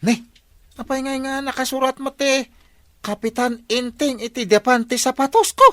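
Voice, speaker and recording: A woman's voice: high voice, moderate pace, pushed and bright, dry recording, studio quality. A woman with an Ilocano accent voicing a defensive young man accused of stealing, in a radio comedy. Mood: protesting. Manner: defensive, protesting.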